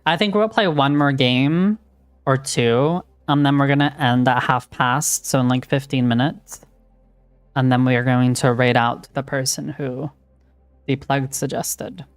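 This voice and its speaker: A woman's voice: rumbling low, steady tone, a little slow, no echo. Frank, chatty woman streaming a game. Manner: chatty, frank, many "like" fillers. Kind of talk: casual chat while gaming.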